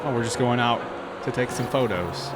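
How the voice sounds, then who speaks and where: A man reacting to videos as a host, effusive and slangy, thinking out loud in casual commentary. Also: mid-range voice, moderate pace. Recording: game audio and music behind.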